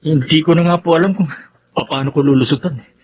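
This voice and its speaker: An actor playing a troubled, defensive unfaithful husband in a Tagalog radio drama. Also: male voice, a little high, fast talker, a little mellow, slightly rough, dull audio. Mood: sheepish, troubled.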